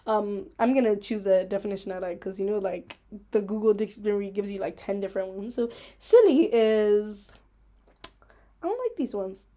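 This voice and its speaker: A woman hosting a casual, rambling livestream chat, playful, giggly and self-mocking, with an animated, emphatic way of talking. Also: mid-range voice. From Africa. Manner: animated, emphatic, repeating herself for effect. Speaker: host of a chat vlog.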